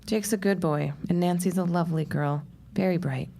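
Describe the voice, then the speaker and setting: Low, creaky-voiced wry shopgirl. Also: normal speed, mellow. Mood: polite, curious. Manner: dry, sardonic. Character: wry, world-weary young shopkeeper's daughter. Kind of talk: period audio drama acting.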